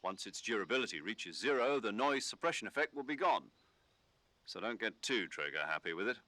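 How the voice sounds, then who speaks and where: A military commander from a video game, calm and in full authority. His voice is crisp-edged and bright, recorded very dry. He briefs in a measured, instructive way. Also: fairly low pitch, no room sound. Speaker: mission commander giving radio briefing. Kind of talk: scripted video game voice acting.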